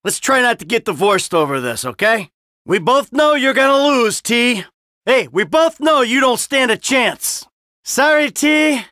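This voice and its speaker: A man's voice: sits high, pushed and bright, no room sound, clean studio sound, full character voice. An actor playing a sarcastic, hot-tempered, middle-aged American man in a midlife crisis, in short exasperated video game lines. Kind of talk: video game character barks.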